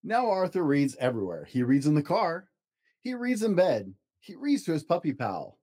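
A man with a soft, mellow voice reads a children's story as a podcast host. His pitch moves in lively swings, and he speaks encouragingly to young listeners. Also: moderate pace. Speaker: podcast host reading children's books aloud. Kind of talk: scripted storybook narration.

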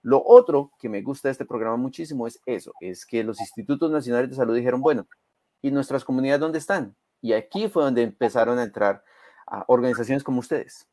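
A man's voice: medium pitch, a little fast, mellow, dry recording. A native Spanish-speaking man explaining things conversationally as an interview guest, emphatic and fond of rhetorical check questions. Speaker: interview guest, community health program advocate.

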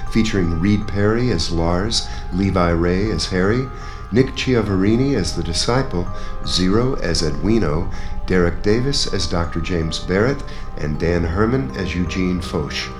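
A man's voice: low-pitched, expressive, normal speed, a little mellow. A man reading scripted closing credits as the announcer of a radio play. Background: guitar music.

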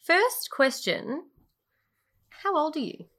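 A woman's voice: high-pitched, lively intonation, slow pace, fairly bright tone. A woman co-hosting a podcast, upbeat and asking questions in a casual chat. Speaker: podcast co-host.

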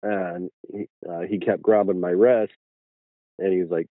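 A man with an Irish accent, a guest telling his story slowly with many fillers and restarts. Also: low-pitched, steady tone, no echo, narrow, tinny audio. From Ireland.

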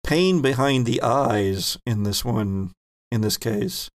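A man acting as game master, explaining the rules and rulings of a tabletop game in a measured way. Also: mid-range voice, lively intonation, dry recording, clean studio sound.

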